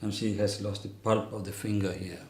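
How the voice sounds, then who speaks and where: A man speaking as a doctor, giving an unscripted clinical teaching demonstration in a hesitant, explanatory way with frequent pauses. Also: on the low side, fairly even intonation, vocal fry, a little mellow. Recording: roomy echo, faint background noise.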